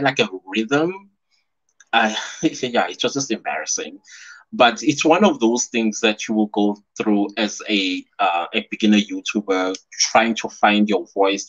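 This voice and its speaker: A South African man in his thirties hosts a casual live stream from a small room at home. He is chatty and animated, breaks into laughter often, and talks at an ordinary mid pitch. The stream audio sounds a bit dull and lo-fi. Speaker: YouTuber hosting a live stream.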